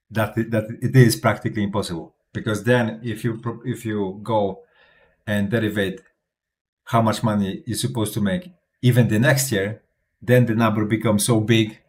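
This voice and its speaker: A fairly low-pitched man speaks English with a Polish accent, for whom Polish is the native tongue. He talks casually and bluntly to his viewers, restarting phrases and slipping in short laughs as he gives confident advice. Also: steady tone, normal speed. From Poland. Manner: chatty, frequent restarts and little laughs. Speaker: crypto YouTuber. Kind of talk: casual talking-to-camera vlog and Q&A.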